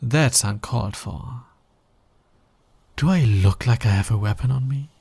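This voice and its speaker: Male actor as cocky fantasy villain, slow and gravelly, smug, teasing, flirtatious, intimate ASMR roleplay. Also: mid-range voice, a little mellow, no echo. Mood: playful, mock-innocent. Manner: smug, playful, drawling, teasing.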